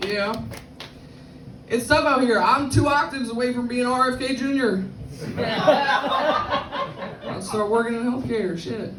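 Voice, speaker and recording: Woman, Bronx accent, deep cracked voice, brash crude stand-up, echoey club, far from the mic, audience laughter. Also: slow pace, long pauses, noisy background. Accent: Bronx New York. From South Bronx, New York. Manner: brash, crude, self-mocking punchlines. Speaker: stand-up comedian. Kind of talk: stand-up comedy set. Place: comedy club stage.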